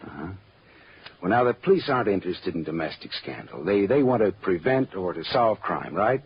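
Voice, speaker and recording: An actor playing a wry, hardboiled American private eye in an old radio drama, cool and sardonic, on a muffled, noisy recording. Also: male voice, low voice, sing-song, brisk, breathy edge, full character voice. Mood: persuasive. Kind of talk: mid-century radio drama acting.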